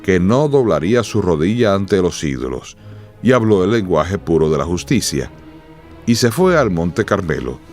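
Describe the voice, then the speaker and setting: A man giving solemn, measured, dramatic scripted narration for a radio drama. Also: low-pitched, sing-song, a little mellow, soft background music.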